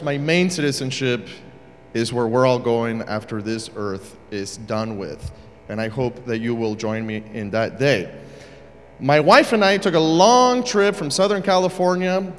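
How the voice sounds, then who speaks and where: Guest preacher, male, from Uruguay, slightly high voice, playful asides that turn emphatic, long church reverb. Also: a little hiss or hum. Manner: jokey and conversational, then building to emphatic preaching. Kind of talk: sermon. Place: church sanctuary.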